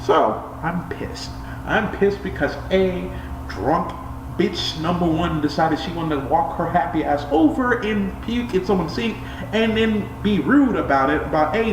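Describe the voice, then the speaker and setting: Animated, higher-pitched young American man. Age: 20s. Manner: animated, exasperated, emphatic ranting. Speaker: storytelling vlogger. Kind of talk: casual, profane personal storytime. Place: small indoor room, seated against a bare wall. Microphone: webcam microphone at arm's length or farther. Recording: roomy, distant webcam mic with clear noise.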